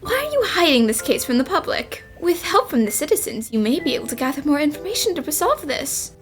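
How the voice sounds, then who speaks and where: A woman voicing a cheerful, confident young female detective in an animated fan dub, speaking in a perky, polite and breezy way. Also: high voice, fairly quick, faint music behind. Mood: bright, composed. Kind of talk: amateur English fan dub of animated game dialogue.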